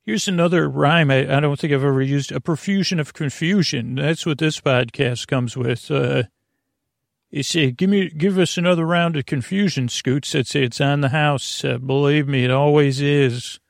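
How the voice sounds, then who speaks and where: A man with a creaky, lulling voice hosting a bedtime podcast in a meandering, halting way, often interrupting himself. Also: on the high side, no room sound, studio quality. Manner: soothing, lulling. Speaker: podcast host. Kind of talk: rambling bedtime sleep podcast monologue.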